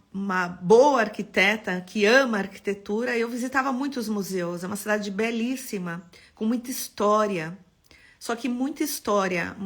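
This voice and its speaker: Woman, Paulista accent, architect hosting a live lesson; bright, expressive delivery. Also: mid-range voice. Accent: Paulista Brazilian Portuguese. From São Paulo, Brazil. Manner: expressive, greets viewers by name. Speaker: architect teaching a live class.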